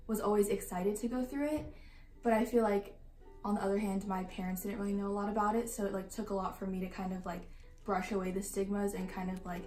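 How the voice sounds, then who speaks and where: A woman's voice: mid-range voice, dark tone. A nineteen-year-old woman giving casual, conversational interview answers, often padding them with "like" and "kind of". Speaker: college student, sorority member. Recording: echoey, distant mic, music underneath.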